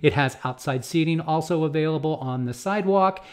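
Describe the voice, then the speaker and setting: A man in his fifties with an American accent presents an explanatory guide as a vlogger, in measured steps with emphatic repeats, close to a desktop microphone in a small home studio. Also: on the high side, clear, resonant tone. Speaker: cruise travel vlogger and agent. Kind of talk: explanatory talk to camera, semi-scripted guide. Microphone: close desktop condenser microphone.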